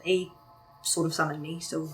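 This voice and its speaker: Woman voicing a cunning cursed shapeshifter, wry, bargaining, low-ish voice, faint music. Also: flat delivery, moderate pace, natural voice. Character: cunning cursed woman who turns into a raven.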